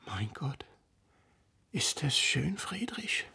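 An admiring man of letters, very breathy and hushed, at a slightly raised pitch. He speaks hesitantly and reverently, in the style of a comic radio play. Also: very animated, takes it slowly, whispered, exaggerated voice. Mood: moved, rapt. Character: admiring, soft-spoken literary friend of a poet. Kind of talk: comic radio drama acting.

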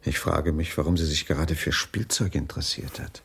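Gravelly, husky, low-voiced brooding man. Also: steady tone, brisk, full character voice. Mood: guarded, probing. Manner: probing, questioning. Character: brooding, suspicious railway dining-car waiter, injured woman's husband. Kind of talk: radio crime drama acting.

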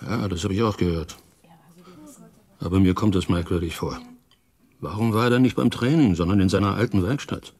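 A homely club chairman in a radio play, with a very low voice. He talks earnestly and reflectively, in a plain-spoken, puzzled way. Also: fairly quick, long pauses, a little mellow. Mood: pensive, suspicious. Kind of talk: radio drama dialogue.

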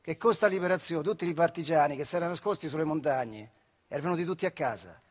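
A working-class man from a factory, telling his memories in earthy dialect. His voice is high for a man, with a central Italian Marche accent and an expressive, theatrical delivery. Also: fast, husky. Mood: wry, fond. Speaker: stage actor performing a monologue.

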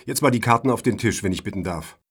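Pedantic, husky man on a jury acted. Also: lowish voice, quick pace, fairly bright tone. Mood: suspicious, indignant. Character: pedantic, suspicious male foundation juror. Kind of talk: German radio satire acting.

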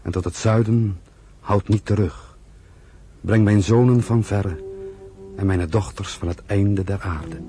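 An actor playing a devout, resolute young refugee in a radio drama, earnest and declamatory. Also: male voice, lowish voice, a little slow, pauses often, over music, natural voice. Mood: reverent. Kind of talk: Dutch radio drama acting. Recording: slightly noisy old radio play recording.

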